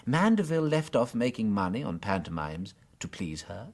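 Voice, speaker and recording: Mild clerical sleuth, English accent, low husky voice, hesitant musing, radio drama. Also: male voice, sing-song, normal speed, darkish tone. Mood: quietly insistent. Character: gentle, unworldly, shrewd clerical detective. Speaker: actor in a radio detective drama. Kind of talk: full-cast radio drama acting.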